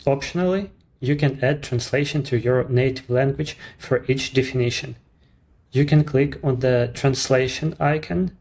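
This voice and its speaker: A man with a Ukrainian accent, a native Ukrainian speaker, walking through a software demo in English in a measured, explanatory way. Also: medium pitch, vocal fry. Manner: measured, explanatory, slightly non-native phrasing. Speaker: app creator presenting his service. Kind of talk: screen-recorded software walkthrough.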